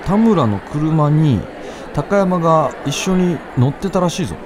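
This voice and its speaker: A man's voice: a little high, expressive, a little slow, crowd noise, background noise. A man playing an earnest schoolboy gossiping in an in a radio skit. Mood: gossipy, urgent. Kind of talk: amateur radio drama acting.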